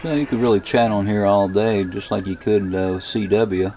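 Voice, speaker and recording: A man casually narrating a software demonstration as a radio hobbyist, thinking aloud in a hesitant, rambling way with a gravelly voice. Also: on the low side, steady tone, normal speed, a little hiss or hum.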